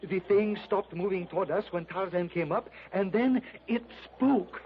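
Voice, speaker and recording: An actor playing an excitable French lieutenant with a French accent, in 1930s radio drama style. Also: male voice, high voice, moderate pace. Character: excitable French lieutenant, middle-aged man. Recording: muffled old radio transcription, faint hiss.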